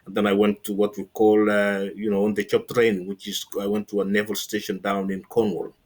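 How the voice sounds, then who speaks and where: A man's voice: fairly low pitch, monotone, fairly quick, darkish tone. A middle-aged man with a Kenyan accent, giving a conversational account as an interview guest and often adding "you know" as he explains. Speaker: interview guest, former navy linguist.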